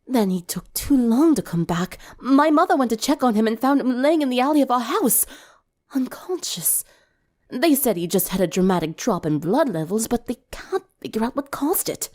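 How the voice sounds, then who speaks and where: A weary teenage schoolgirl, sad and worried, as a woman narrator puts her on. She speaks in a subdued, halting manner that gets breathy. It is a dramatic storybook performance of a fragile, troubled girl. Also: on the high side, expressive, darkish tone, pitched above the performer's own voice. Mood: upset, worried.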